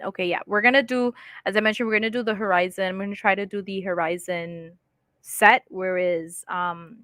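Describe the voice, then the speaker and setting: A woman's voice: lowish voice, a bit bright, no room sound. Chatty woman hosting a live stream. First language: English. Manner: chatty, reads viewer comments aloud and laughs often. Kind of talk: casual live-stream chat.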